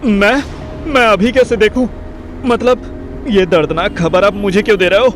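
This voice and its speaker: A man's voice: sits high, fairly even intonation, moderate pace, slight background noise, close to an everyday voice. An actor playing a reluctant, sarcastic, foul-mouthed young man who grumbles and wisecracks in a Hindi audio drama. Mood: defensive.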